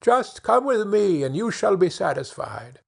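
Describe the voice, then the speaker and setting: A man's voice: sits high, big pitch swings, unhurried, gravelly, breathy edge, voice pushed up, heavily acted. A man voicing a kind, eager young simpleton hero in a fairy tale, cheerful and encouraging.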